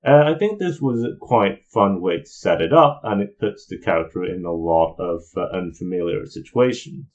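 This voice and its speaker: Deep-voiced man with lively intonation, reviewing. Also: normal speed. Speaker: video game reviewer. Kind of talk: spoken review commentary. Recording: dull lo-fi voice recording.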